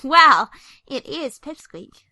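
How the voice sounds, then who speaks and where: A woman's voice: high-pitched, sing-song, takes it slowly, pushed and bright, no echo, full character voice. A woman voicing an eager, bookish young female pony in an audio drama, earnest and easily flustered. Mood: incredulous, admiring. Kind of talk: animated audio drama acting.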